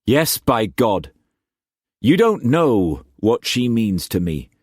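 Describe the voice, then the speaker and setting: A man's voice: on the low side, sing-song, takes it slowly, a little mellow, no room sound, clean studio sound, voice pushed up, exaggerated voice. A man voicing an earnest, anxious young man in love.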